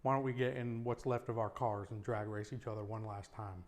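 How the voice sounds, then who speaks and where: A man with a low voice performing improv comedy scenes, blunt and often breaking into laughter. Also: fairly even intonation, a little fast, darkish tone.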